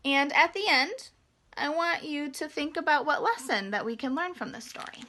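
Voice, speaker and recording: Female children's storyteller, higher-pitched and very bright, expressive, explains things simply. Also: normal speed, slight background noise. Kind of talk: storytelling read-aloud for young children.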